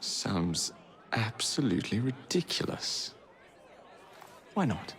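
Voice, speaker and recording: An actor playing a cocky, sardonic young Victorian adventurer in a video game, teasing and flippant. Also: male voice, lowish voice, big pitch swings, slow pace, a bit bright, some chatter behind, exaggerated voice. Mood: mocking. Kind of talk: video game cutscene acting.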